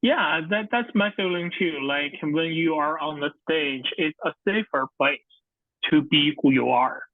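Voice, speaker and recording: A man asks questions over a thin, phone-like line and keeps his remarks short and easygoing. His voice sits a little higher than average. The sound is dry, with no room around it. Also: measured pace, dry recording. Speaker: interviewer and comedy promoter. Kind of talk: casual interview. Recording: tinny phone-like call line.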